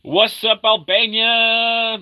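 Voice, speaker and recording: Man in his thirties, feeling sick, loose rambling stream chat with a very bright, sharp tone. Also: extremely high pitch, level tone. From Argentina. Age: 30s. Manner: rambling, halting, full of fillers and restarts; upbeat when greeting viewers. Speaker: livestreamer reading chat. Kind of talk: casual live-stream chat.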